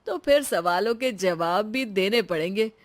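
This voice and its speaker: A woman's voice: mid-range voice, lively intonation, unhurried, close to an everyday voice. Woman playing a teasing, matter-of-fact young husband. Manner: reasoning, gently teasing. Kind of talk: animated moral story dialogue.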